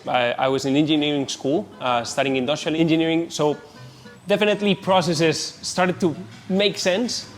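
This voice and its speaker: A man's voice: on the high side, fairly even intonation, moderate pace, faint music behind. A man with a Colombian accent, a native Spanish speaker, casually telling his story as a company founder in an interview.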